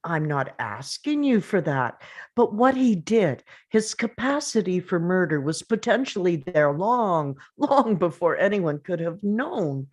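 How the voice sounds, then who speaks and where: A woman's voice: lowish voice, very animated, measured pace. A woman playing a grieving, angry father in a dramatic script read, confrontational and emphatic. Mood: tense, insistent. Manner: confrontational, emphatic, pressing with questions.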